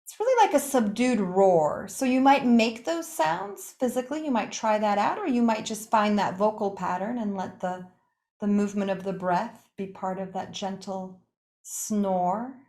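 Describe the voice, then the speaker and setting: A woman's voice: medium pitch, lively intonation. Echoey woman guiding a meditation. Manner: unhurried, gently inviting, with pauses between short phrases. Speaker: yoga nidra meditation teacher. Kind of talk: guided meditation. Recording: echoey, roomy sound.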